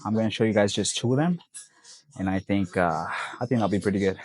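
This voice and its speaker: A man's voice: lowish voice, quick pace, a little mellow, husky, no room sound. Congested young man filming a gym vlog. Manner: laid-back, rambling, lots of fillers. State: congested, sniffling. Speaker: fitness vlogger. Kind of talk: casual gym vlog talk.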